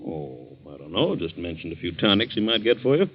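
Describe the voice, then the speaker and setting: An actor playing an easygoing, reassuring family doctor in a 1940s radio drama, casual and avuncular. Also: male voice, sits low, very animated, brisk, slightly rough, exaggerated voice. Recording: dull old 1940s radio recording.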